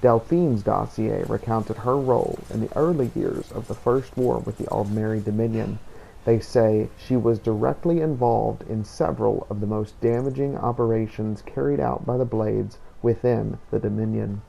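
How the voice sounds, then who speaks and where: A man narrating a game playthrough as a scripted first-person story, in a measured storytelling delivery. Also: fairly low pitch, creaky, warm tone, rough recording.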